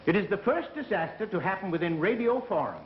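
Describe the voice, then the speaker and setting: A self-important, blustering executive in an old mystery picture. His high voice swoops as he orates formally. The room echoes around him. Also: sing-song, quick pace. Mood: pompous, stern. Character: pompous, blustering radio company president. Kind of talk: 1930s mystery film acting. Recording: echoey, muffled, hissy 1930s film soundtrack.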